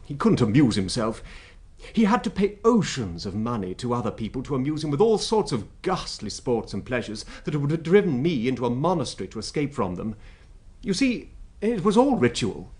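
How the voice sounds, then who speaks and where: A male actor plays a British royal secretary in a radio drama. He is talkative and wryly amused, his mid-pitched voice swinging widely as he holds forth in emphatic, rhetorical flourishes. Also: very animated, fairly quick, a little mellow, lightly acted. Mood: wry, reflective. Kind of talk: BBC radio drama acting.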